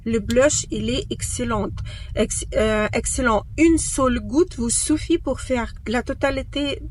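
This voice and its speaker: Woman, non-native speaker, makeup vlog, slow unhurried talk, fairly high voice, dry close sound, lots of fillers. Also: a little mellow, slight background noise. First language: not French. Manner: hesitant, many fillers and restarts; enthusiastic superlatives. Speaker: beauty vlogger. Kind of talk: casual makeup review to camera. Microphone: own microphone, at times off.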